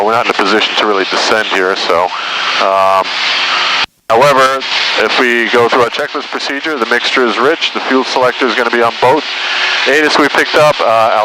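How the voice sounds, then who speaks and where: A man working as a flight instructor, explaining procedures step by step in an instructive way inside a small airplane. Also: mid-range voice. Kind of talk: calm step-by-step cockpit instruction. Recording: very noisy light-aircraft cockpit, distant at times.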